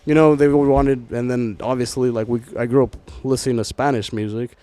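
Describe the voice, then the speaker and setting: A young man from Southern California talks casually as a podcast host among friends. His mid-pitched voice carries plenty of slang and swearing, and the sound is dry with little room to it. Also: fairly even intonation, fairly quick, mellow, smooth, dry recording. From Southern California, United States. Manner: profane, slangy, fond of filler phrases.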